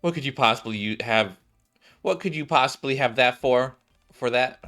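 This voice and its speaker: Male streamer narrating game cutscenes, bright forceful tone, rereads fumbled lines, over background music. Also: mid-range voice, moderate pace. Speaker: streamer reading game dialogue aloud. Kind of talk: dramatic read-aloud of game cutscenes.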